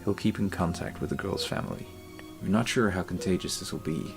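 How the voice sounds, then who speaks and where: Man playing a deep, gravelly, measured scientist. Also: music in the background. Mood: calm, firm. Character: calm, guarded male scientist, loyal to his boss. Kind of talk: radio play acting.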